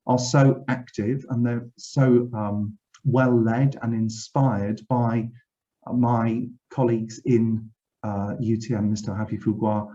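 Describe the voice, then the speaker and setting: A man's voice: mid-range voice, warm tone, highs cut off. A man from Wales with a Welsh accent, giving an earnest, reflective webinar address as a university director general, often restarting his phrases. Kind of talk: formal speech. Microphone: video call.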